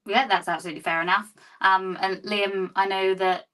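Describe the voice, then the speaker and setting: A woman's voice: medium pitch, fairly even intonation, moderate pace, a bit bright, far from the mic. A woman hosting a football fan show, who asks easygoing questions and laughs often. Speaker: show host. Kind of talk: casual football fan discussion.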